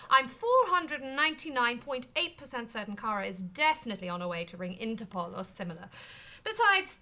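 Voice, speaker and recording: A woman's voice: high-pitched, bright, forceful tone. A woman playing an earnest, pompous, over-dramatic branch manager in an audio sitcom. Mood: pompous encouragement.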